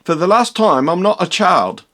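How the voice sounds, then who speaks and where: A man voicing a meek, high-voiced male hunchback assistant who whines. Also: expressive, moderate pace, pushed and bright. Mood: indignant.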